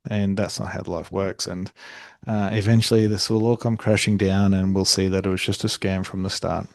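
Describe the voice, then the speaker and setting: A young Australian man hosting a solo podcast, speaking conversationally with frequent fillers and restarts and a voice he calls monotone. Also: deep voice, normal speed, vocal fry, no room sound, clean studio sound. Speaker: podcast host.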